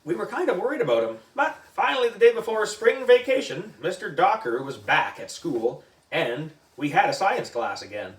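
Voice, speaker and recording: A man reading a children's story aloud in an animated narrating style. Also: sits high, moderate pace. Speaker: book club reader. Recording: echoey room, distant mic.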